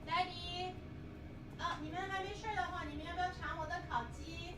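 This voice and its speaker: High-voiced playful woman streamer. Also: fairly even intonation, brisk, noisy background. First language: Mandarin. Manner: playful, giggly, chatty. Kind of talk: casual cooking livestream chat. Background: background music.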